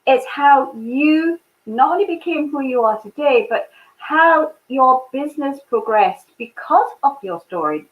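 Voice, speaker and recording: A woman's voice: sits high, lively intonation, a little slow, few pauses. A woman hosting an online interview show, chatty and upbeat as she welcomes her guest. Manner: upbeat, chatty welcome with short warm murmurs of agreement. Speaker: host. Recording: phone-like lo-fi sound.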